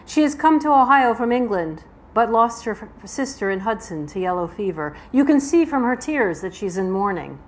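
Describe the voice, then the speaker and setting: A woman's voice: fairly high pitch, lively intonation, moderate pace, hardly any pauses, natural voice. A woman voicing a guarded, plain-spoken old man in a live novel reading. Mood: explaining. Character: old male wagon driver, guarded, plain-spoken and protective. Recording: noisy live event room recording.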